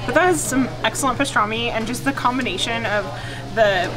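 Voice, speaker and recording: A woman's voice: on the high side, fairly quick, rough recording. A woman in her thirties chatting enthusiastically to camera as a food vlogger, recorded close in a small restaurant booth. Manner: chatty, enthusiastic, lots of fillers. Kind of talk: casual chat to camera. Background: background music.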